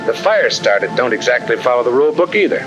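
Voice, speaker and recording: Actor as blunt army sergeant, 1950 training film. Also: male voice, mid-range voice, sing-song, fast, music in the background, lightly acted, rough recording. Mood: matter-of-fact. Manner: blunt, slangy, wisecracking. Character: brisk, tough-talking army sergeant instructor. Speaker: instructor.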